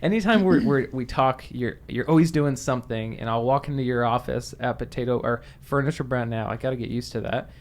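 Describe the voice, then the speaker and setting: A man's voice: mid-range voice, fairly quick, hardly any pauses. A man hosting a podcast interview, talking casually with frequent restarts. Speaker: podcast host. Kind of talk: conversational interview.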